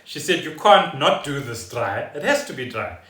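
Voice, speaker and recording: A man's voice: medium pitch, big pitch swings, normal speed, sharp tone. A man chatting casually as a podcast co-host, explaining things in a rambling, storytelling way in a living room. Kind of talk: casual chat. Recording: roomy echo, distant from the mic.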